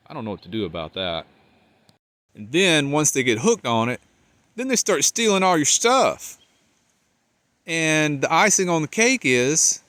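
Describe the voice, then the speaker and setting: A man with a congested, nasal voice, talking casually to the camera outdoors as a van life vlogger, rambling and trailing off quietly. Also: medium pitch, no room sound. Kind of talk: casual vlog talk while walking. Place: outdoors by a riverbank park.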